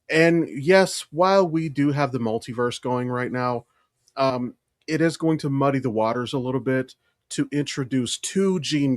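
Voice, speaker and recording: An American man co-hosting a podcast in casual chat, rambling and halting as he thinks aloud. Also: medium pitch, no room sound, studio quality. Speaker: podcast co-host. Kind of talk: casual chat about comics and films.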